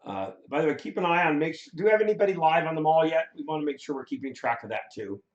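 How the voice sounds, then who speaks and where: Hoarse man in a roomy echo. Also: a little high, racing, highs cut off. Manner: animated, laughing, swearing. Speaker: co-host. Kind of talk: casual chat about news clips.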